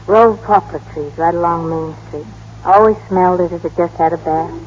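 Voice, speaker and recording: An actress playing a soft-hearted, wistful young American woman in a vintage radio drama, speaking in a gentle, probing way. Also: on the low side, steady tone, moderate pace, lightly acted. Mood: wistful, fond. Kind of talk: 1930s-40s radio drama acting. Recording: dull, noisy old radio broadcast.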